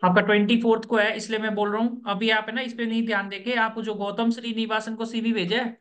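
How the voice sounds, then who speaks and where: A man's voice: sits high, flat delivery, a bit bright. Man coaching students over a video call. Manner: brisk, instructive, repeats key points. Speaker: interview coach. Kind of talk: online coaching session.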